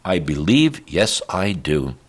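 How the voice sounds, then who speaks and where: A man's voice: deep voice, big pitch swings, slow, breathy edge, heavily acted. A man voicing a small, weak young donkey in a storybook, frightened, pleading and full of self-doubt. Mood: earnest, excited. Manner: pleading, doleful.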